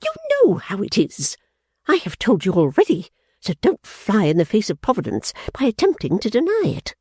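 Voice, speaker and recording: A woman reader voicing a haughty, sharp-tongued young woman who scolds her sister in a shrill, indignant tone. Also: big pitch swings, a little slow, breathy edge, no room sound, clean studio sound. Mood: scornful.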